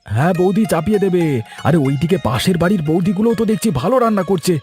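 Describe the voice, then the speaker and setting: A man voicing an eager, pushy village fellow in a comic cartoon, excitable and insistent. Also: high voice, rapid-fire. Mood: eager, scheming. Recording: music under the voice.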